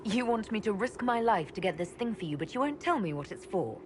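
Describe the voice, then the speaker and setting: An actress voicing an elven leader in a video game, speaking plainly and directly. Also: female voice, mid-range voice, animated, a little fast, noisy background, lightly acted. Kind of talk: video game cutscene dialogue.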